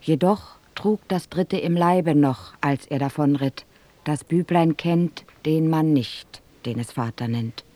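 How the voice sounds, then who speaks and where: A steadfast, humble beggar woman in a radio verse play. She pleads earnestly in a lowish voice, slowly, recorded close and dry. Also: dark tone, no echo. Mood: steadfast, sorrowful. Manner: plaintive, earnest.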